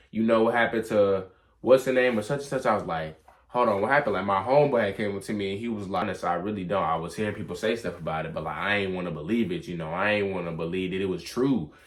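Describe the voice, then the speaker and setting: A young adult man telling a personal story in a casual, rambling, slangy way. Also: lowish voice. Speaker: vlog storyteller.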